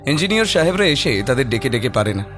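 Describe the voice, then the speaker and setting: A man tells a horror story as its narrator. His voice sits at a middling pitch. The delivery is scripted and dramatic, with music clearly playing underneath. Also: sing-song, fast, fairly bright tone. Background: prominent background music.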